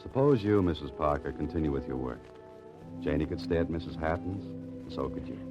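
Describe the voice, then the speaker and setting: An actor playing a quick-witted, cool troubleshooter in a 1940s radio drama, speaking in a wry, clipped, assured way. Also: male voice, rumbling low, expressive, dull audio. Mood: gentle, amused. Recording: old radio recording, loud organ music.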